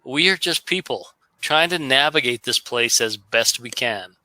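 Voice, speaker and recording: A man hosts a livestream, chatting earnestly with a guest and turning to talk to his viewers. His voice is very bright, smooth and resonant. The sound is dry and close. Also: medium pitch, lively intonation, measured pace, no room sound, rough recording. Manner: earnest, talks to chat. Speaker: streamer host. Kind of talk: casual livestream chat.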